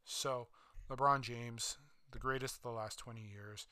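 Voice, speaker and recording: A man's voice: medium pitch, fairly even intonation, unhurried, pushed and bright, no room sound. A man hosting a solo sports podcast, talking casually with many fillers and restarts, his voice slightly worn. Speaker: podcast host.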